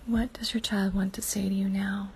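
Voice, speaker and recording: A woman leading a guided inner-child meditation, speaking softly and slowly, often in a half whisper. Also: medium pitch, flat delivery, a little hiss or hum.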